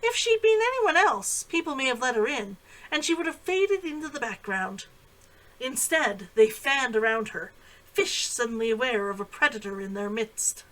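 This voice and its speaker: A woman reading her own novel aloud in expressive audiobook-style narration. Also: fairly high pitch, moderate pace, rough recording.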